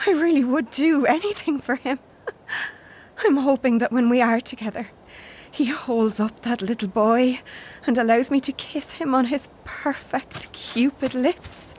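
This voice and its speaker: An actress playing a woman recording a final phone log in an audio horror drama, halting and intimate. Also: high-pitched, a little mellow. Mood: confused, dazed. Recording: noisy phone voice memo with ambience.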